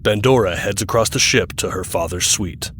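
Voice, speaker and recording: A man's voice: on the low side, moderate pace, heavy background noise. A man reading scripted narration for an audio drama. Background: music.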